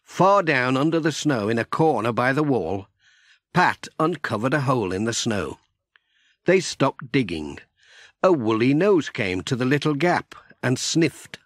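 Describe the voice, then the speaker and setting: Slow, lively male children's storyteller. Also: medium pitch, no echo, studio quality. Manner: expressive storytelling delivery. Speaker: audiobook narrator. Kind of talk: scripted children's story narration.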